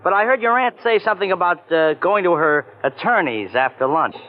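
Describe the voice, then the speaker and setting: An actor playing an eager young office clerk who stays polite and guarded, in a tinny old radio recording. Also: male voice, sits high, lively intonation, fairly quick. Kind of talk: 1940s radio acting.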